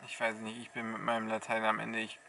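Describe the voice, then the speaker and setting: A man's voice: fairly low pitch, completely flat delivery, fast talker, creaky, sharp tone. A man, chatting casually as a travel vlogger into a handheld camera. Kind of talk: casual vlog chat. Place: inside a camper van, on a windy beach. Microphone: handheld camera at arm's length. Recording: slightly distant, noisy camera audio.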